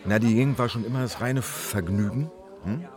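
A man's voice: mid-range voice, a little fast, close to an everyday voice. An actor playing a jovial underground pub barman in a radio drama, chatty and jokey in colloquial speech. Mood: amused, confiding. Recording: faint music and crowd murmur behind.

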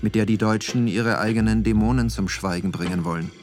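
A man's voice: lowish voice, a little fast, lightly acted. A man delivering scripted narration in a radio play. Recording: faint music under the voice. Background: faint background music.

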